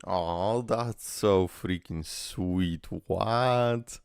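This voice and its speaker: This young man comments on game trailers in a rambling, casual way, swearing freely. His voice is very deep and gravelly, and faint music plays under him. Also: extremely slow, dry recording, clean studio sound. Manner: rambling, swearing, laughing between thoughts. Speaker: reaction video creator.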